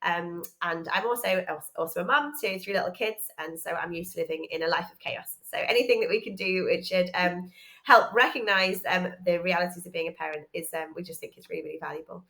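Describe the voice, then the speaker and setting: This is a woman with a slightly low voice. She presents a course in an informal way, explaining it piece by piece. Her sentences often pause and pick up again with small hesitant asides. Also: sharp tone. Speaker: educational psychologist and course presenter.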